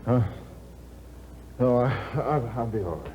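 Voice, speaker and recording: An actor playing a haunted young aristocrat who stammers after waking from a nightmare, in an old radio drama. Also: male voice, mid-range voice, animated, measured pace, slight background noise, close to an everyday voice. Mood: hesitant. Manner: shaken, hesitant, stammering. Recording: muffled 1950s radio broadcast.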